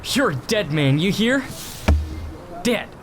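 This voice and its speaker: An actor playing an angry, defiant young outlaw in a Western audio drama. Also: male voice, high voice, sing-song, takes it slowly, over music, exaggerated voice. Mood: furious. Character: defiant, hot-tempered young male outlaw.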